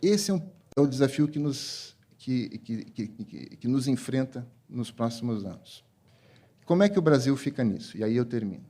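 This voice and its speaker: A man giving a measured panel talk, pausing and restarting now and then. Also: mid-range voice, lively intonation, dark tone.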